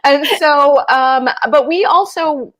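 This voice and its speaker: A woman's voice: high-pitched, sing-song, drawn-out words, fairly bright tone. A woman in her fifties from the American Midwest, whose first language is Urdu, speaks on a video call as an author panelist. She tells her story reflectively, with lots of fillers. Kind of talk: casual panel discussion.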